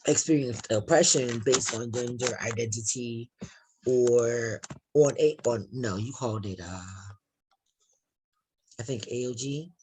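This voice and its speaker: A woman with a deep voice, a participant sharing a personal experience in a group discussion, talking in a thoughtful, searching way with many restarts. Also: measured pace, long pauses, dark tone, slight background noise, low-quality recording. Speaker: workshop participant.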